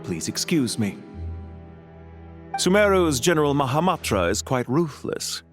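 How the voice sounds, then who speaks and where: An actor playing a suave, scheming villain mastermind in a video game, polite, smug and theatrical. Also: male voice, fairly high pitch, sing-song, measured pace, faint music behind. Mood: mock courteous.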